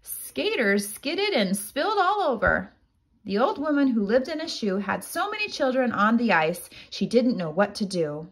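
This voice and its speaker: A woman teacher reading a children's picture book aloud in an expressive, deliberate storytelling manner. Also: on the high side, breathy edge, poor audio.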